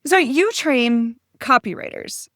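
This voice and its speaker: A woman's voice: high voice, expressive, slow, fairly bright tone, dry recording, clean studio sound. A woman who is a guest copywriter on a podcast, chatting in a playful, candid way with frequent "like". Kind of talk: casual candid chat.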